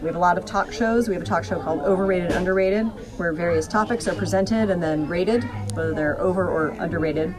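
A woman with a fairly low voice talks casually, like a teacher in an interview. She is animated in telling her anecdotes. Other people's chatter is clearly heard behind her. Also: brisk, hardly any pauses. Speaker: high school radio teacher.

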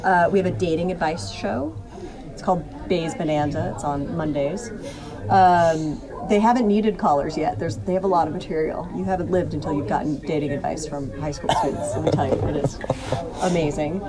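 A woman's voice: deep voice, normal speed, music in the background. A woman teacher talking casually and animatedly in an interview, telling anecdotes. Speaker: high school radio teacher.

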